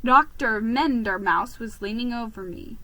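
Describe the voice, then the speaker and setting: Woman, bright fairly high voice, slow pace, theatrical storybook reading, expressive. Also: poor audio. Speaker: story reader.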